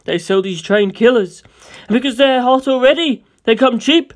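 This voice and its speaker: A man voicing a jittery male underworld arms supplier in a comedic script reading, with a rapid, raised, excitable delivery. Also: extremely high pitch, pitched above the performer's own voice. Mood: agitated.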